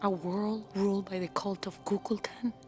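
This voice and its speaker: A woman's voice: medium pitch, steady tone, moderate pace, mellow, faint music behind, close to an everyday voice. An actress playing a defiant imprisoned queen who speaks firmly and resolutely in a video game cutscene. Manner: firm, resolute.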